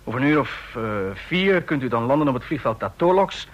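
An actor in a Dutch radio play voicing a courteous, authoritative army general with a formal, commanding manner. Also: male voice, mid-range voice, lively intonation, a little fast, dull audio, natural voice.

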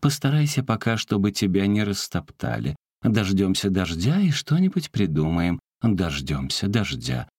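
A man voicing a kind female snail who talks in a drawn-out, reassuring way. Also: on the low side, sing-song, a little mellow, dry recording, clean studio sound. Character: kind helpful female snail, slow and earnest.

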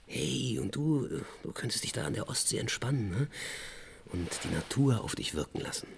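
A man's voice: mid-range voice, animated, normal speed, gravelly, background noise. A man playing a laid-back guitarist boyfriend in a radio play, casual, hesitant and soothing. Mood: coaxing. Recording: loud music and train sound behind.

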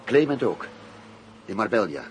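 An actor playing a grave, determined police commissioner in a radio play, speaking in a serious, deliberate, formal way. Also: male voice, on the low side, big pitch swings, slight background noise. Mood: bitter, indignant.

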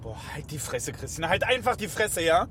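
A man's voice: fairly high pitch, big pitch swings, a little fast. Stressed young policeman, snappy and short tempered, noisy street behind him, radio play. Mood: exasperated, angry. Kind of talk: naturalistic German radio drama.

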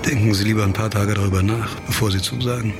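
An actor playing a brooding, driven amateur investigator in a radio crime drama, terse and intense with hesitant pauses. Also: male voice, fairly low pitch, fairly even intonation, lightly acted. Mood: grave, warning. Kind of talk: radio crime drama acting with inner monologue. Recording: music behind the voice.

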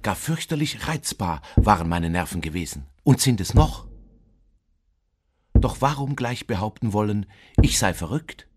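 An actor playing a nervous, obsessive madman who insists he is sane, in an intense, deliberate radio-play monologue with a husky voice. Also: lowish voice, lively intonation. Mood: agitated, insistent. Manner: intense, deliberate, with sudden emphatic swells.

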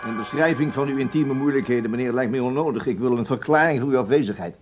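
An actor playing an officious, sceptical boss in a Dutch radio play, pompous and full of hems and interjections. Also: male voice, mid-range voice, fast talker, dull audio, over loud music, close to an everyday voice. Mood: officious, impatient. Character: officious, sceptical male boss questioning an employee.

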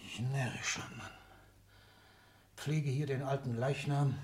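A man's voice: medium pitch, steady tone, slow, a little mellow, slight background noise, lightly acted. An older man playing an ailing, domineering old industrialist in a 1960s German radio drama, commanding and declamatory with clipped, emphatic phrases. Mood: bitter, agitated. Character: ailing, domineering old industrialist patriarch, irascible, power-hungry.

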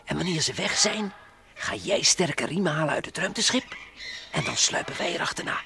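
An actor playing a bossy, admonishing space adventurer who lectures and grumbles in a children's radio play. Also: male voice, a little high, animated, moderate pace, noisy background. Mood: cautioning. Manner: didactic, admonishing.

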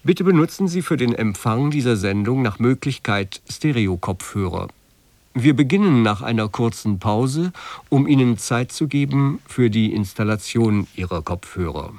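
A man's voice: mid-range voice, expressive, normal speed, darkish tone, no echo. A man giving a scripted broadcast announcement as a radio announcer.

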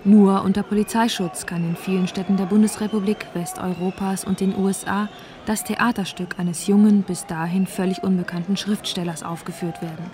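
A woman's voice: medium pitch, little pitch movement, moderate pace, a little mellow, a little hiss or hum. A woman reading a scripted documentary narration as narrator.

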